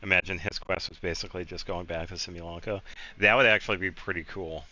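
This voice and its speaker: A man's voice: low-pitched, steady tone, fast talker, bright, forceful tone, low-quality recording. A man giving casual video game commentary, thinking aloud in a rambling way as he plays. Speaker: streamer playing a video game. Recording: game music faintly behind.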